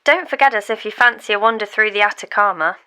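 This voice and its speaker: A woman's voice: mid-range voice, fast, pushed and bright, clear, resonant tone, lightly acted. A woman voicing a chirpy, polite female call-centre agent with a sing-song customer-service manner, in an audio story.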